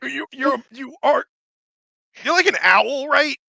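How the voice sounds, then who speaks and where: A game master voicing a brash, whiny spirit trapped in a carried object, shouting and ranting with a New York accent. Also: sits high, slow, creaky, no echo, pitched above the performer's own voice, heavily acted.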